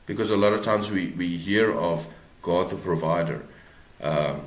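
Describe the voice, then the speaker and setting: Deep-voiced South African man, roomy sound. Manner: halting, repeats words as he searches for phrasing. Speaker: guest author. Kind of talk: reflective interview talk.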